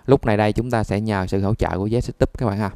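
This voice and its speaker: A man's voice: on the low side, fairly even intonation, fast, mellow, no room sound, clean studio sound. A man giving a conversational step-by-step repair tutorial, often checking that listeners follow. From Vietnam. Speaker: phone repair instructor.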